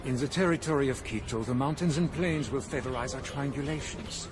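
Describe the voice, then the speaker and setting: An actor in a video game playing a confident, learned scientist of the eighteenth century. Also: male voice, medium pitch, brisk, noisy background, close to an everyday voice. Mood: assured. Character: confident, learned male expedition scientist of the 1700s. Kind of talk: dramatic video game acting.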